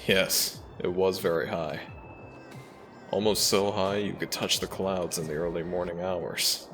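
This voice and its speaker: A man playing a gentle young dragon prince, a devoted boyfriend talking tenderly to the listener in a romantic audio roleplay. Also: sits low, steady tone, a little slow. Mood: fond. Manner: tender, affectionate, soft-spoken reassurance. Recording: music behind the voice. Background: background music.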